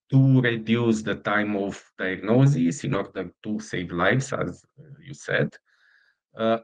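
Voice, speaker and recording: A middle-aged man from Romania with a Romanian accent, a startup founder answering interview questions in halting speech full of fillers and restarts. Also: lowish voice, gravelly, rough recording.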